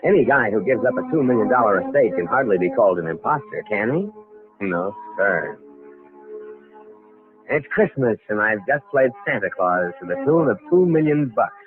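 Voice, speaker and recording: A man's voice: lowish voice, expressive, normal speed, natural voice. A man playing a slick, self-satisfied young impostor in a vintage radio mystery. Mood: smug, musing. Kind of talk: 1940s radio mystery acting. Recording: tinny vintage radio recording with music. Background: music.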